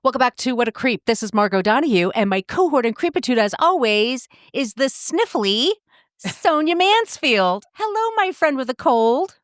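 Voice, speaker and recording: A woman's voice: high voice, animated, normal speed, no room sound, clean studio sound. A woman co-hosting a podcast in casual, jokey chat, swearing freely. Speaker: podcast co-host.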